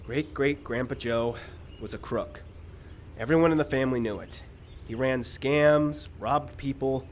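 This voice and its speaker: Man playing hesitant writer, earnest, principled, medium pitch, halting delivery, live radio play, slight noise. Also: natural voice. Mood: firm, earnest. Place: live radio show in a park. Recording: live stage recording with background noise.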